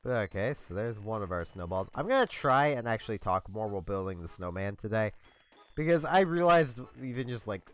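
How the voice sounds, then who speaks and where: A man's voice: fairly low pitch, lively intonation, a little fast. A congested man hosting a let's play from home, rambling through casual commentary with lots of ums and small laughs.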